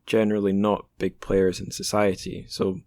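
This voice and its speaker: Male host, deep register, dry intimate sound, relaxed chat style, tentative and informal in phrasing. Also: normal speed, dry recording. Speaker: podcast host. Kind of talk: casual chat.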